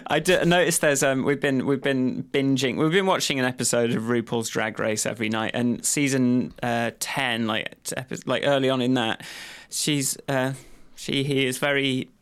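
A man hosting a podcast in a loose, casual chat. He rambles, jokes and laughs often, in a voice of ordinary middle pitch. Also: fairly even intonation, normal speed, few pauses, a bit bright, clear, resonant tone. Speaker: podcast host.

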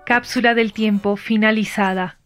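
A woman voicing a calm female AI assistant in an audio drama, speaking in polite, automated phrasing. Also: mid-range voice, normal speed, over music, close to an everyday voice. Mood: neutral, automated. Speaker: artificial intelligence voice.

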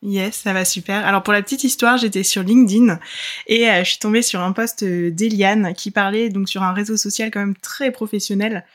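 A woman's voice: medium pitch, steady tone, moderate pace. A woman hosting a podcast, friendly and welcoming, asking open questions in casual chat. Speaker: podcast host.

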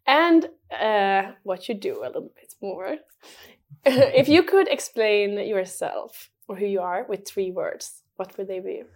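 A woman's voice: mid-range voice, expressive, a little slow. A woman speaking as a podcast host, introducing and interviewing a guest. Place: studio room, in person.

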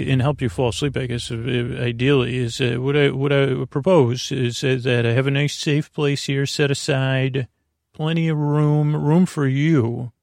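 A man with a gravelly, creaky voice murmurs a meandering bedtime chat, drifting from thought to thought with plenty of "uh"s and restarts. His tone is soft and lulling. The sound is close and dry, with no room around it. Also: mid-range voice, no echo. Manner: rambling and goofy, with many fillers and restarts. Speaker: sleep podcast host. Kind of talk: meandering bedtime talk to help listeners sleep.

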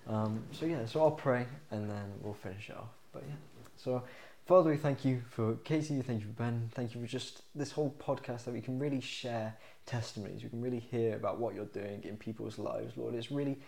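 Co-host, male: earnest, encouraging, a dark, slightly fried voice. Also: medium pitch, brisk, creaky, hardly any pauses. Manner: earnest, encouraging, repeats "just" and "Lord" in prayer. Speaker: podcast co-host. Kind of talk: casual chat and closing prayer.

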